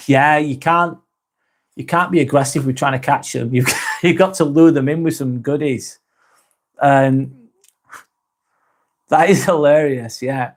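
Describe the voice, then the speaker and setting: A man's voice: mid-range voice, fairly even intonation, measured pace, pauses often. An English man hosting a live vlog, chatting casually with viewers, often correcting himself and laughing easily. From England. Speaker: live vlog host reading chat. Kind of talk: casual livestream chat.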